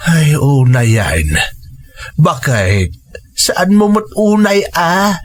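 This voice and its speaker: A man's voice: a little high, big pitch swings, very slow, heavily acted. An actor playing a long-suffering, reproachful old husband and father in a radio drama.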